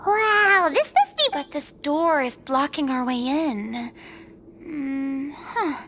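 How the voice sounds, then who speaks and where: A woman's voice: sits high, animated, slow, fairly bright tone, a little hiss or hum, full character voice. A woman voicing a curious, easily impressed companion to an adventurer in a video game, full of wonder. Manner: wondering, exclamatory. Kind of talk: scripted video game voice acting.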